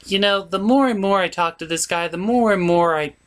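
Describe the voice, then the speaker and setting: A man's voice: high voice, a little fast, fairly bright tone, clean tone. A man hosting a comedic cartoon review, giving commentary in a sarcastic, exasperated manner.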